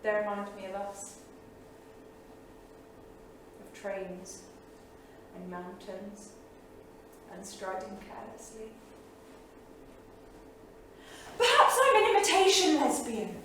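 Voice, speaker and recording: A restless 1930s bohemian wife, flirtatious and affected, with a high, bright voice. She performs theatrically, as on a small stage, heard from a distance in a very echoey room. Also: very animated, measured pace, full character voice. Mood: wistful, confiding. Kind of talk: theatrical staged reading, dramatic monologue. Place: small theatre studio, indoors. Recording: echoey theatre studio from far off, faint noise.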